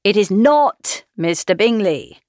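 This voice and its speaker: Wry teasing old gentleman, read by a woman, animated, dry mic. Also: medium pitch, slow, no echo. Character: dry, ironic older gentleman and father. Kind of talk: audiobook reading.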